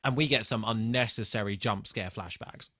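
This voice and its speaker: A British man co-hosting a relaxed TV re-watch podcast, talking in a hesitant, rambling, casually sweary way. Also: on the low side, moderate pace, dry recording, clean studio sound. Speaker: podcast co-host.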